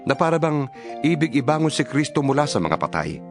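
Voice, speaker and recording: A man's voice: a little high, sing-song, brisk, music in the background. A man reading scripture as narrator of a dramatized Bible, in an earnest, rhetorical preaching delivery.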